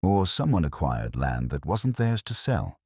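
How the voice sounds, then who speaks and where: A quietly incisive police inspector, read by a male narrator in a mystery story. He is courteous, terse and probing, with a very low, rough-edged voice recorded close and dry. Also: big pitch swings, a little slow, no echo, exaggerated voice.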